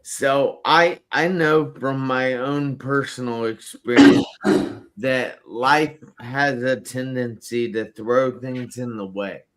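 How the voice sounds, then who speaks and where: A man hosting a live online talk show, speaking slowly and emphatically and repeating words for effect. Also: mid-range voice, fairly even intonation, poor audio. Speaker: host. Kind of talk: live-stream show host.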